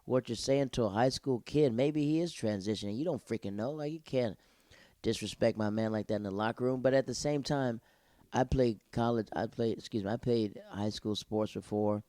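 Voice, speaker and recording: A man's voice: fairly low pitch, moderate pace, dark tone, no echo. A young man hosting his own podcast alone, reviewing a TV episode in a rambling, slangy, digressive way. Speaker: solo podcast host.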